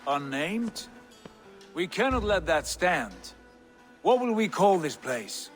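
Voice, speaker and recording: An actor playing a confident Viking jarl in a video game cutscene, commanding and welcoming in a formal, declamatory way. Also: male voice, sits high, big pitch swings, takes it slowly, faint music behind, exaggerated voice. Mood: decisive.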